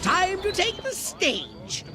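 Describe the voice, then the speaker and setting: A man's voice: very high-pitched, huge pitch swings, slow pace, over loud music, full character voice. A man voicing an imperious, scheming male alien commander in a theatrical, droll video game villain style. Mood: urging.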